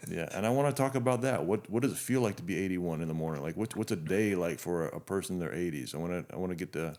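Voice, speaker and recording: Deep-voiced man in his fifties hosting. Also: fast, a little mellow. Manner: earnest, reflective, frequent restarts. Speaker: podcast host. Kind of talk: heartfelt interview introduction.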